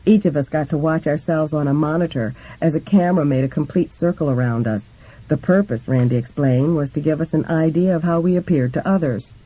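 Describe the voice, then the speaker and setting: A man's voice: fairly high pitch, mellow. A man reading a memoir aloud as scripted narration, in a dull lo-fi recording. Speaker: reader of a book.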